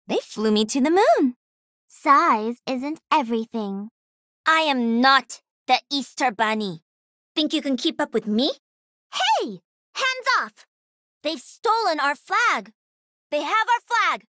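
A woman voicing a cocky, playful young female pro gamer and mech pilot in a video game, with a sassy, confident, energetic delivery. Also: high voice, dry recording, studio quality, exaggerated voice. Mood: urgent, determined. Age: young adult.

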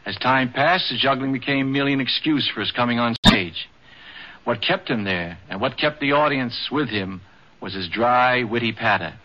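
A man's voice: medium pitch, moderate pace. Male narrator on vintage radio. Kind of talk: scripted radio documentary narration. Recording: dull 1950s radio broadcast.

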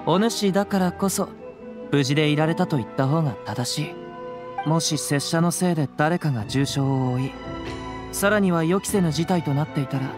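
A man playing a gentle, humble young wandering samurai in an anime game, with calm, courteous, archaic speech. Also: fairly high pitch, lightly acted. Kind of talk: Japanese anime game dialogue. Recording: music under the voice.

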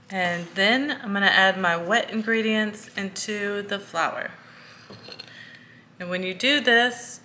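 A woman's voice: medium pitch, sharp tone. A woman in her thirties hosting a home cooking video, walking through a recipe step by step in a casual way with a few fillers. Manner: slow, step-by-step instructions with fillers. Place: home kitchen, indoors. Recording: echoey kitchen with faint noise.

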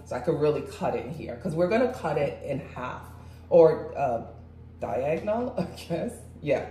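A woman's voice: low-pitched, mellow, slight background noise. A woman in her forties with a congested voice, chatting viewers through a cooking demonstration in her home kitchen. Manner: chatty, talks viewers through each step. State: congested, voice a little off. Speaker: cooking channel host. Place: large home kitchen indoors. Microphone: far camera. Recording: echoey kitchen from far off.

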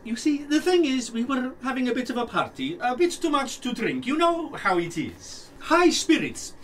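Man acting a high-pitched, excitable prince. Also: normal speed, full character voice. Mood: blustering. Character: excitable, suave aristocratic prince, a nervous conspirator. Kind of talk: radio play acting. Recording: distant mic, faint noise.

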